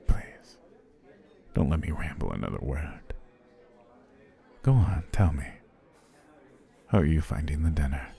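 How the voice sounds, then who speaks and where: An actor playing an obsessive, smooth-talking male potion seller in an ASMR roleplay, speaking in soft, flowery, courtly phrases that often drop to a whisper. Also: extremely low pitch, big pitch swings, slow, long pauses, exaggerated voice. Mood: adoring.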